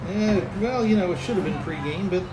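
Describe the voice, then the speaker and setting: A man with a high, nasal voice calling a high school baseball game play by play in a chatty, easygoing way. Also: fairly quick, over a noisy crowd. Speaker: play-by-play announcer. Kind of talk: baseball commentary. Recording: outdoor booth with loud noise, slightly distant mic.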